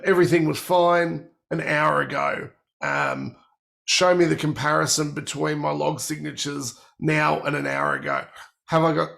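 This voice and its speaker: A man with a cold and a croaky, gravelly voice, presenting a technical workshop and walking through product features. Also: a little high, a bit bright.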